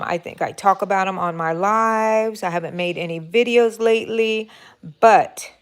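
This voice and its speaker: A middle-aged woman with a Minnesota accent hosting a live crochet stream from home, rambling warmly and greeting viewers by name. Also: medium pitch. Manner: rambling, effusive, reads out chat names. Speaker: crochet livestream host. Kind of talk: chatty live stream talk.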